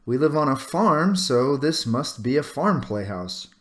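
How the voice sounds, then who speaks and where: A man's voice: medium pitch, animated, unhurried, fairly bright tone, reverberant. A man reading a children's storybook, voicing a kind but firm, plain-spoken farm mother. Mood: puzzled, patient.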